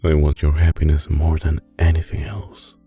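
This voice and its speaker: A man playing a soft-spoken mafia boss boyfriend in an ASMR roleplay, menacing yet doting, with an Italian accent and a deep, creaky, intimate delivery. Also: little pitch movement, exaggerated voice. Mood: gentle, reassuring. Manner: slow, deliberate, controlled, intimate. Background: music.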